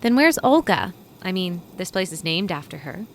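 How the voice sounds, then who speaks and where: A woman's voice: on the low side, sing-song, moderate pace, slight background noise. Woman reading as a wry young woman. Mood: defensive. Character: guarded, wry young woman in her twenties.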